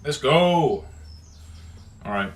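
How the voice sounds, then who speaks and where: A young Welsh man hosting a casual livestream, greeting viewers by name and chatting loosely, loud when he greets people and low and mumbled when he muses. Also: lively intonation, very slow. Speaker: livestream host. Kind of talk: casual livestream chat reading comments.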